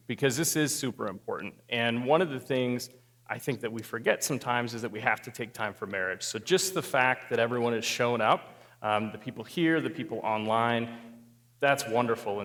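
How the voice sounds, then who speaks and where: A man, a marriage therapist and guest speaker, giving a relaxed talk with dry, self-deprecating humor. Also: mid-range voice, long reverb tail. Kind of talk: talk to a live audience.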